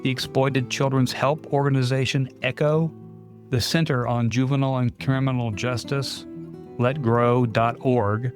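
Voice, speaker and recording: A man's voice: medium pitch, steady tone, measured pace. A man hosting a podcast, reading scripted announcements and credits. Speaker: podcast host. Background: guitar music.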